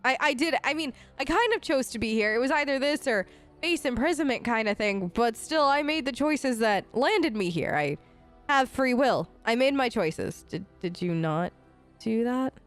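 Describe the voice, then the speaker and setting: A woman's voice: a little high, animated, normal speed. A woman improvising in-character replies for a role-play let's play, chatty, casual and a little hesitant. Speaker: gaming host, role-playing as player character. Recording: game music under voice. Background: game music.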